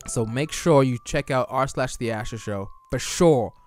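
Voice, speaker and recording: Young male streamer, mid-pitched, casual chat-reading, slightly tired voice, light music bed. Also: moderate pace. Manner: chatty, reads chat aloud and answers it, loose restarts. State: losing his voice.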